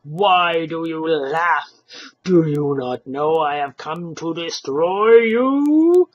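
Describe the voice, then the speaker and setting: A woman's voice: deep voice, lively intonation, slow pace, highs cut off, heavily acted. A woman voicing a coldly menacing male android who lectures formally and pompously in a storybook reading.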